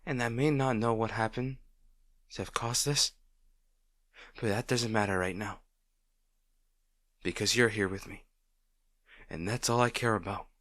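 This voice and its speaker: A man playing a gentle, protective boyfriend in an intimate roleplay audio, speaking in a tender, reassuring way. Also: fairly low pitch, fairly even intonation, a little slow, pauses often, no room sound, studio quality. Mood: tender, soothing. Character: gentle, protective boyfriend comforting a distraught partner.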